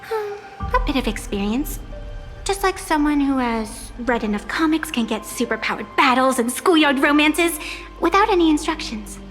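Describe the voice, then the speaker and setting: High-voiced, teasing mystical enchantress, long reverb. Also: female voice, animated, a bit bright. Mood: playful, curious. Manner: theatrical, teasing, formal and unhurried. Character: mysterious, playful, aloof female supernatural being granting pacts. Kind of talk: video game character dialogue. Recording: long reverb, music behind.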